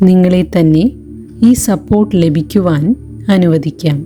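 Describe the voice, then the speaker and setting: A woman leading a guided meditation in a gentle, calm, deliberate way, leaving long pauses between short phrases. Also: lowish voice, soft background music. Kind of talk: slow guided meditation narration.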